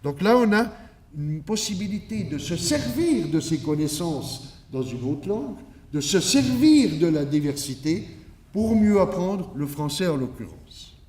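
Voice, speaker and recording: A man's voice: high voice, very animated, a little slow, a little mellow. A man from Switzerland giving an academic conference lecture in a didactic, emphatic manner with rhetorical asides. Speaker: academic keynote speaker. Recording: echoey room, distant microphone.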